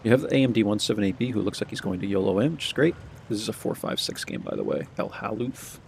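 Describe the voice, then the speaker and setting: A low-voiced man with a gravelly, fry-heavy voice talks casually over his gameplay, rambling and tossing in "man" often. His voice sounds a bit hoarse and cracks at times. Faint engine rumble sits behind him. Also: sing-song, quick pace, darkish tone. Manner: laid-back, rambling, says "man" a lot. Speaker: gameplay commentator.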